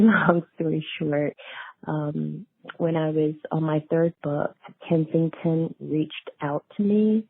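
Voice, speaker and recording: A woman's voice: low voice, husky. A middle-aged woman, telling her story conversationally as an interview guest. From small town in eastern North Carolina, USA. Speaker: guest author. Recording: tinny phone line, roomy echo.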